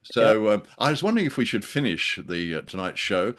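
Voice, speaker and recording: A man's voice: fairly low pitch, very animated, fairly quick. A man co-hosting a casual podcast chat, talking in a jokey, rambling, hesitant way. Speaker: podcast co-host.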